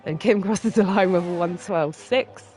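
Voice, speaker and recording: Stuffy-nosed excitable race commentator. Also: mid-range voice. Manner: excitable, quick reactions to lap times. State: stuffy from a cold. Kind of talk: live sim racing commentary.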